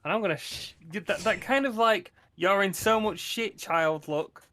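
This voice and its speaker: A man's voice: sits high, normal speed, sharp tone. A man playing a tabletop roleplaying game, bantering casually and swearing as he acts and describes his moves.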